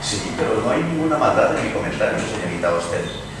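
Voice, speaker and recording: A man's voice: fairly low pitch, big pitch swings, fairly quick. A man playing a witty, opinionated poet in a dramatized reading, wry and theatrical. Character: witty, opinionated male English poet among literary friends. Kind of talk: dramatized reading of a literary debate. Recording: very echoey distant room, clear noise.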